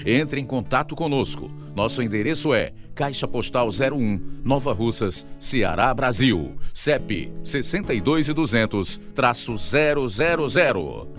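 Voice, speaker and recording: A Brazilian man announces a scripted gospel radio program. His mid-pitched delivery is lively in intonation, with clear music underneath. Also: measured pace, few pauses.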